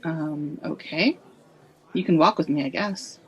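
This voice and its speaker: An actress playing a busy, distracted theatre festival director in a radio play, with a brisk manner. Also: female voice, sits low, muffled recording.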